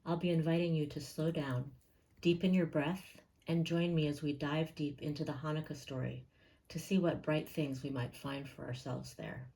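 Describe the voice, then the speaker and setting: A woman leading a guided meditation in a calm, gentle and unhurried way, leaving pauses between phrases. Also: low-pitched, rough recording.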